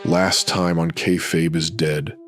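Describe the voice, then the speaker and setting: A man narrating a pulp noir audio drama in dramatic, brooding, measured phrases. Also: sits low, level tone, fairly bright tone, soft background music.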